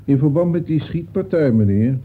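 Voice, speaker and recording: A man's voice: fairly high pitch, animated, normal speed, lightly acted. A man playing a chatty, deferential police officer in a Dutch radio play, talking in a roundabout official way. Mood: polite, official. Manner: roundabout, official, punctuates with polite address. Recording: muffled, noisy old radio play recording.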